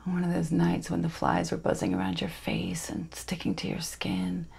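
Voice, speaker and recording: Intense teenage girl played by a woman, stage monologue, breathy half-whisper, spellbound and conspiratorial, gravelly low edge. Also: very animated, moderate pace, darkish tone, full character voice. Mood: hushed, yearning. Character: intense teenage girl entranced by magic and storms.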